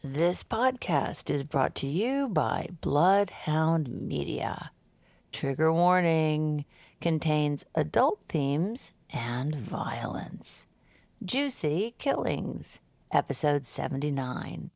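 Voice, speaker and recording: A chatty woman podcast host with a low, gravelly voice that swings widely. She talks in emphatic, casual banter for an audio drama, recorded close and dry. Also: animated, takes it slowly, darkish tone, dry recording, full character voice. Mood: presenting.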